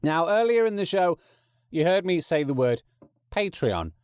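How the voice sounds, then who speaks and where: A man's voice: on the high side, sing-song, a little slow, clear, resonant tone, no echo, clean studio sound. A man with an English accent chatting casually to listeners as a podcast host.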